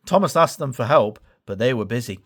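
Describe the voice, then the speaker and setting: A man's voice: medium pitch, expressive, brisk, a bit bright. A man reading a children's story as a scripted storybook narration. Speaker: story reader.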